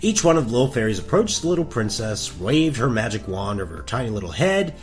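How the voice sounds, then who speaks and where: A man's voice: mid-range voice, big pitch swings, fast talker, soft background music, natural voice. A man playing a cheeky storybook narrator, delivering comic fairy-tale narration with wry, exaggerated asides. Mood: wry, playful.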